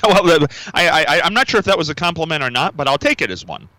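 A male radio host talks into a studio microphone in a dry, close sound. His voice is bright and lively in pitch. He hesitates and stammers often, restarting his sentences. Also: fast, no room sound. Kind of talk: mock interview on radio.

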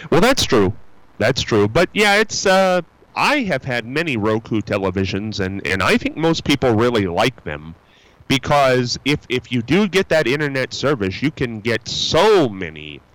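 A man's voice: medium pitch, sing-song, measured pace, fairly bright tone, dry recording, rough recording. A male radio host chatting with callers in a folksy, rambling way, full of fillers and restarts. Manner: upbeat and folksy. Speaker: radio call-in show host. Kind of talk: casual call-in chat. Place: radio studio.

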